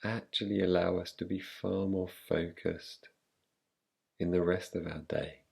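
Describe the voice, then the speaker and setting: A man guiding a relaxation meditation in a calm, unhurried, soothing way, leaving long pauses between phrases. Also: low voice, gravelly, husky. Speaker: meditation guide. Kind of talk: guided relaxation, yoga nidra meditation.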